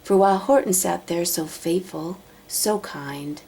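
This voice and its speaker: A woman reading a children's storybook aloud, narrating in a dramatic, deliberate way. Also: lowish voice, warm tone, a little hiss or hum. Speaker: storybook reader.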